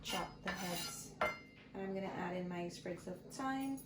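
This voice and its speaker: Slow-talking woman in an echoey kitchen. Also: fairly low pitch, very noisy. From Guyana. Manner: slow, friendly step-by-step explaining. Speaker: cooking channel host. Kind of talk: casual cooking tutorial. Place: home kitchen. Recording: echoey kitchen with clattering pots.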